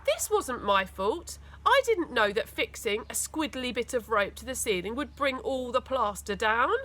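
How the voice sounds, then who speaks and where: Lady doing a cheeky defensive kid boy in a storybook, high and bright, bouncy pitch. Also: lively intonation, moderate pace. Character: young boy narrator, cheeky, defensive, eager.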